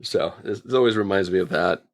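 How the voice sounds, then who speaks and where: A man's voice: deep voice, a little fast, no echo. A man co-hosting a casual, joking review chat, riffing with playful exaggeration. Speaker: podcast co-host reviewing TV episodes.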